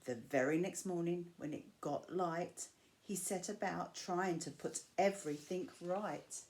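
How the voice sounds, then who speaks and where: A woman reading a rhyming picture book aloud to children, with measured, expressive phrasing and drawn-out key words. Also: lowish voice. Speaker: storyteller reading to school pupils. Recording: clear background noise.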